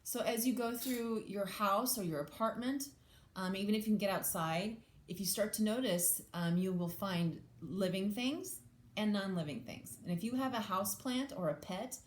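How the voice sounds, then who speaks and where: An echoey room carries the voice of a woman with a middle-pitched tone. She reads to young children as a teacher does, slipping between the book's sentences and her own plain explanations, often putting questions to her listeners. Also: normal speed. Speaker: English language teacher reading aloud to children. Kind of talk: children's picture book read-aloud with informal explanations.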